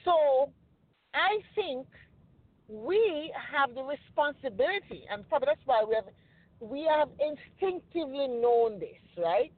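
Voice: sits high, animated, a little slow, dry recording. A podcast host from the Caribbean speaks in an earnest, thoughtful way and keeps checking in with listeners. The sound is thin and phone-like, and the voice is dry and close with no room around it. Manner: earnest, reflective, with frequent "you know" and "okay?" checks. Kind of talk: casual discussion.

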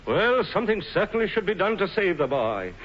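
An actor playing a pompous, fussy older uncle, easily flattered, in a 1940s radio comedy. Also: male voice, high voice, a little fast, dull audio. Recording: noisy, band-limited 1940s radio recording.

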